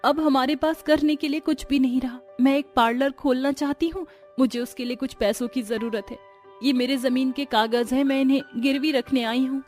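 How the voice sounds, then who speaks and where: A woman's voice: high-pitched, fairly even intonation, moderate pace, a little mellow, faint music behind, close to an everyday voice. A woman playing a devout, anxious wife in a moral story, speaking in an earnest, imploring way. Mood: earnest request. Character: devout, anxious young wife seeking money.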